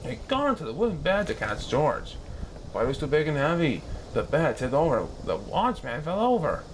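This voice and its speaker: A man reading a children's story aloud in an expressive, animated style with dramatic pauses. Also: a little high, a little hiss or hum, rough recording.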